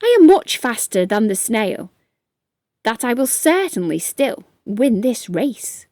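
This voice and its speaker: A woman's voice: on the high side, very animated, slow pace, warm tone, dry recording, studio quality, full character voice. A woman voicing a boastful, impatient young male ant who brags in a storybook reading. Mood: smug. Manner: bragging, scornful.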